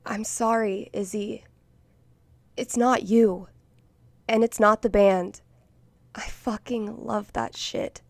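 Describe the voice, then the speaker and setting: A woman's voice: medium pitch, gravelly, darkish tone, no echo. A woman voicing a wry, geeky young woman in a video game, with a casual, sardonic and playful manner. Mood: apologetic, resolute. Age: young adult. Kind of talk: naturalistic game voice acting.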